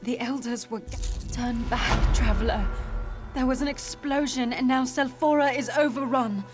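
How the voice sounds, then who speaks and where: A woman's voice: sits high, normal speed, close to an everyday voice. A woman playing a weary, worried injured villager who survived an attack, in a fantasy video game. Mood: pained, frightened. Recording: long reverb, music behind the voice.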